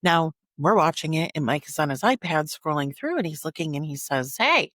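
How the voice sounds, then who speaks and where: A woman podcast host with a lowish voice and very animated intonation. She tells her story conversationally and with earnest emphasis, in a dry, close sound. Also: moderate pace, dry recording.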